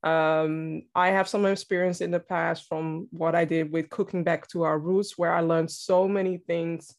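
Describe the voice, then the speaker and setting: A woman with a Dutch accent, whose first language is Dutch, talking as a guest in a casual interview, reflective and quick to laugh. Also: lowish voice, little pitch movement. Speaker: podcast guest, entrepreneur.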